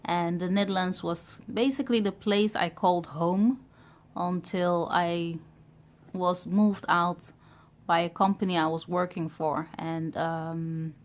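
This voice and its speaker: A woman's voice: on the low side, fairly even intonation. A woman from Ghana in her thirties who grew up in Europe, talking to camera in a reflective, hesitant way about starting a business. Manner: reflective, hesitant, many pauses and fillers. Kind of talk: personal vlog talk to camera.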